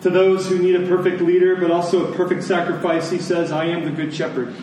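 A man preaching a sermon to a congregation, phrasing deliberately and emphatically and repeating lines for effect. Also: high voice, flat delivery, a little mellow. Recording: echoey room, distant mic, faint noise.

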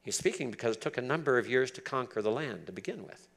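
A man's voice: medium pitch, lively intonation, fast talker, vocal fry. A man in his seventies giving a Bible teaching, reading verses aloud and explaining them earnestly. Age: 70s. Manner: earnest, switches between reading aloud and commentary. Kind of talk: sermon, reading and explaining scripture.

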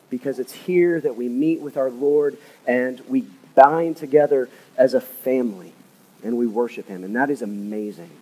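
A man, an assistant pastor, preaching a sermon in his church, his voice a little hoarse from a cold. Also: fairly high pitch, rough recording. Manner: earnest, drops to a hushed, soft voice in prayer. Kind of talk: sermon and prayer. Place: church sanctuary.

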